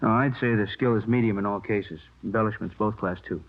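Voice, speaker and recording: Methodical American police handwriting expert. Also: male voice, fairly low pitch. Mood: absorbed, matter-of-fact. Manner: explanatory, halting, checks listener follows. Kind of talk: 1950s radio drama acting. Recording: tinny old radio recording.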